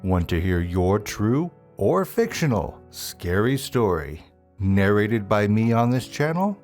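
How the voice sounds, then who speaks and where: A man narrating a scripted ghost story as a storyteller and host, with deliberate, dramatic phrasing and drawn-out words. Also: fairly low pitch, very animated, darkish tone. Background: music.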